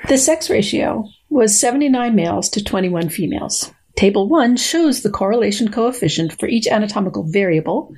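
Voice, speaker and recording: A middle-aged woman, a guest scientist, reads a research paper aloud and explains it in conversation with a precise, playful, deliberate manner. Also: mid-range voice, lively intonation, a little mellow, husky. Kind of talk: dramatic reading and explanatory conversation.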